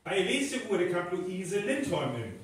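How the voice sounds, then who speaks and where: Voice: medium pitch, sing-song, a little slow, background noise. A narrator with a North Frisian accent telling the story in a live radio play. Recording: very echoey live stage recording, distant mic. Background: piano music.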